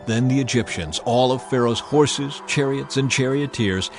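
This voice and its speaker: A man's voice: mid-range voice, normal speed. Male scripture narrator over music.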